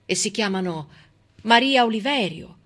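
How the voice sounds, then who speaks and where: A woman delivering a theatrical storytelling monologue in a dramatic, emphatic way with deliberate pauses. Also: medium pitch, expressive, a bit bright. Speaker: stage actress and narrator.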